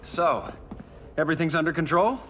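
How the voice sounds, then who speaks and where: A man's voice: a little high, moderate pace, background noise, natural voice. A man voicing a cocky, wisecracking action hero in a video game, with wry, breezy delivery. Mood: wry banter. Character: cocky, wisecracking heroic archer.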